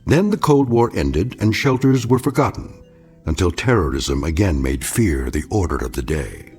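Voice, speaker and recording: A man narrating an eerie radio drama in a solemn, deliberate, theatrical manner. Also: sits low, lively intonation, a little mellow, slightly rough, soft background music. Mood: grave, ominous. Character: grave, portentous male narrator of an eerie tale.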